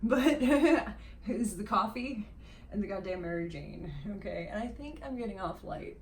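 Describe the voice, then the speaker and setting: A woman's voice: medium pitch, lively intonation, a little slow. A woman chatting casually, playful and jokey, laughing often and tossing in wordy asides. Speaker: podcast guest. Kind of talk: casual chat. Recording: echoey room, distant mic, faint noise.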